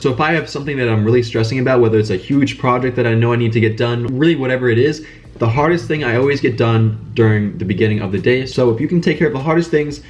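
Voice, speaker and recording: Young man, nasal from a cold, quick talker, middle-pitched, earnest advice monologue. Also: darkish tone, dull audio. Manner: brisk, earnest, repeats phrases for emphasis. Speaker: channel host giving business advice. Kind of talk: casual advice monologue to camera.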